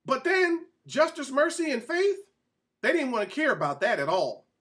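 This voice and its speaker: A man's voice: very high voice, big pitch swings, normal speed, a bit bright. A man giving an informal Bible-teaching sermon as a presenter, speaking emphatically, repeating phrases and putting questions to his listeners.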